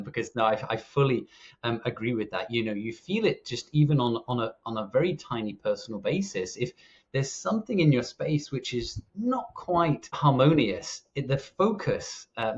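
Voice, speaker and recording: A British man hosting a podcast interview, speaking casually and hesitantly, with frequent restarts. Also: medium pitch. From Britain. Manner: hesitant, many restarts and fillers. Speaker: podcast host. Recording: slightly dull, muffled audio.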